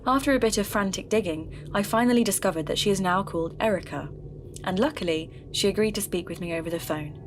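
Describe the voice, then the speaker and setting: An actress playing an inquisitive, considerate podcast host, composed and gently probing in an audio drama. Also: female voice, medium pitch, fast talker, noisy background, close to an everyday voice. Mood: composed, intrigued. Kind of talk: scripted podcast narration and interview.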